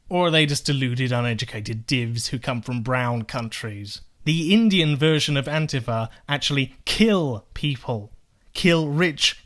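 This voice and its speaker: A man's voice: fairly high pitch, a bit bright. A young man giving sarcastic, profane political commentary, firing off rhetorical questions as he rebuts clips. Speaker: political video essayist.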